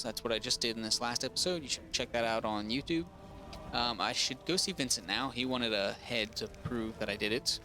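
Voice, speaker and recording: Hoarse, rambling male gamer. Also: mid-range voice, pushed and bright. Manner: rambling, full of ums and restarts, reads quest log aloud. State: raspy voice. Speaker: gaming streamer. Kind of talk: casual let's-play commentary.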